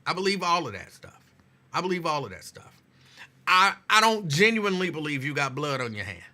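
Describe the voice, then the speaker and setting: A man's voice: a little high, very animated, a little fast, sharp tone. A male talk show host losing his voice, chatting with listeners and repeating phrases. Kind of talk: casual talk show chat.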